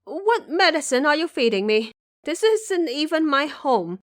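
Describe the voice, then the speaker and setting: A woman voicing an indignant young princess who protests loudly in an audio drama. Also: sits high, very animated, measured pace, no room sound, studio quality, voice pushed up. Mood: indignant, shouting. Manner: loud, protesting, demanding. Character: shrill, indignant young princess possessed by a commoner wife's soul. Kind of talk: audio drama character acting.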